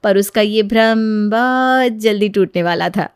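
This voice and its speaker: A woman narrates a moral tale in a scripted storytelling style. Her voice sits at a middle pitch, recorded close and very dry. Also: measured pace, clear, resonant tone, dry recording.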